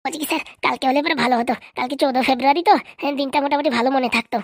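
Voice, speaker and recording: A woman's voice: high-pitched, fairly even intonation, racing, no echo, clean studio sound. A woman playing a sly, greedy nurse in a comedy cartoon, chatty, pushy and wheedling. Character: sly, greedy female nurse in a hospital scam.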